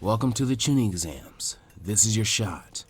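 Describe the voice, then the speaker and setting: A man voicing a laid-back, calm and apologetic male ninja proctor in an anime story reading. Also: husky, no echo. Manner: calm, unhurried, low-key.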